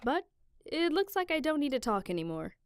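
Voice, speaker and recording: A woman playing a calm, teasing young woman who explains things in a measured way, in a visual novel fan dub. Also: high voice, a little hiss or hum. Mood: teasing, offhand. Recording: music behind the voice.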